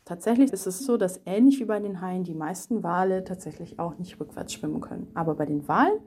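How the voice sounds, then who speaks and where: A woman's voice: on the low side, fairly quick, darkish tone, a little hiss or hum. A woman speaking as an animal expert, explaining things spontaneously and with emphasis for a young audience. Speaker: animal expert being interviewed.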